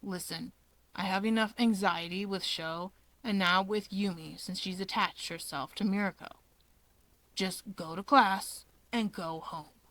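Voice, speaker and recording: A woman's voice: medium pitch, fairly even intonation, a little slow, a bit bright, no room sound, close to an everyday voice, low-quality recording. Woman as a subdued, weary older brother. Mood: weary, worried. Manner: terse, exasperated, subdued. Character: weary, nagging, anxious, sardonic older brother.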